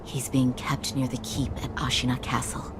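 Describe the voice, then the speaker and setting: An actress playing a calm, courteous woman in a video game, speaking in a measured, formal and polite way. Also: a bass voice, background noise. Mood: composed, polite. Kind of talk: scripted video game dialogue.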